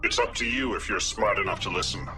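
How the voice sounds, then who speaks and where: An actor playing a haughty, suspicious alien councilor in a video game, scornful and sarcastic in manner. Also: male voice, lowish voice, quick pace, over music, natural voice, low-quality recording. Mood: condescending. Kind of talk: video game dialogue acting.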